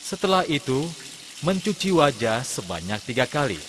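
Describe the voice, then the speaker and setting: A man, an Islamic religious teacher, giving measured step-by-step instruction in a scripted lesson narration for children. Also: medium pitch, very animated. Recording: long hall reverb, clear background noise.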